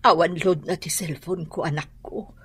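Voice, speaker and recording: A woman's voice: on the low side, steady tone, moderate pace, husky. A woman playing a weary, suffering, impoverished mother in a radio drama. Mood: weary, worried.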